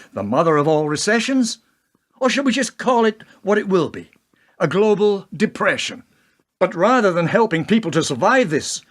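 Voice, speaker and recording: A defiant, principled president acted by a man in a radio play. His voice sits fairly high and moves in wide swings, with an orator's questioning, indignant yet composed manner. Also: a bit bright.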